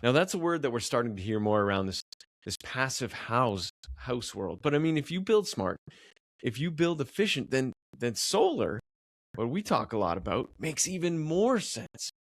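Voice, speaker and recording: A man's voice: medium pitch, very animated, normal speed, no echo. A man hosting a podcast, upbeat and enthusiastic, often repeating words as he goes. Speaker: podcast host. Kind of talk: podcast interview.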